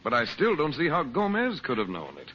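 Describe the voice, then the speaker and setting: Male heroic uncle, forceful bright voice, take-charge and earnest, muffled vintage radio drama. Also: a little high, wildly swooping pitch, a little fast, exaggerated voice. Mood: grave, explaining. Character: brisk, commanding heroic uncle, magician adventurer. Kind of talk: 1930s-40s radio serial acting. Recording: muffled old radio recording, faint hiss.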